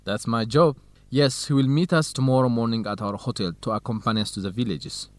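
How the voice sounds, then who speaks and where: An actor playing a helpful, knowledgeable young researcher, explaining things in simple sentences in an educational radio drama. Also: male voice, mid-range voice, brisk, no room sound, lightly acted.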